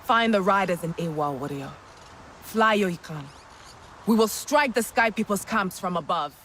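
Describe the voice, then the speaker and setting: A woman's voice: mid-range voice, animated, moderate pace, fairly bright tone, a little hiss or hum, natural voice. A woman playing a proud, commanding clan leader of an alien people, speaking formally and ceremonially in a dramatic video game. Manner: formal, oratorical.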